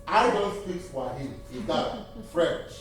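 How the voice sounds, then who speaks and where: A man's voice: sits high, big pitch swings, a little slow, fairly bright tone, a little hiss or hum. A man from Nigeria with a Nigerian accent whose first language is Igbo, giving an earnest church testimony and appeal that repeats key phrases for emphasis. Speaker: seminary graduate speaking at church. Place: church sanctuary. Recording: distant, roomy church recording.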